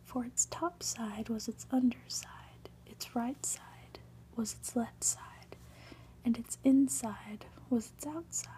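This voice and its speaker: A woman whispering an ASMR reading of a story in a gentle, hushed manner, with soft asides. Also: on the high side, takes it slowly, rough recording. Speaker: ASMR reader and host.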